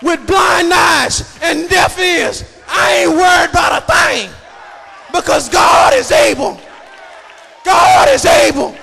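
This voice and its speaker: Male preacher, very high-pitched shouted delivery, repetitive and emphatic, big reverberant hall. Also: expressive, slow, creaky, a faint crowd. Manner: emphatic, repetitive, cadenced delivery building on repeated phrases. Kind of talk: fervent shouted sermon. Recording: echoey hall reverb with congregation noise.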